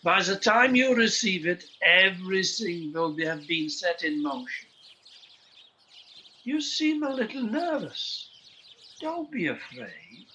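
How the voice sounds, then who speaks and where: An actor playing a genial but secretive professor in a radio play, with a courteous, theatrical and halting delivery. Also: male voice, sits high, very animated, pushed and bright, muffled recording, a little hiss or hum, full character voice. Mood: sly, conspiratorial. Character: odd, genial bird-shop professor secretly supplying saboteurs with bombs.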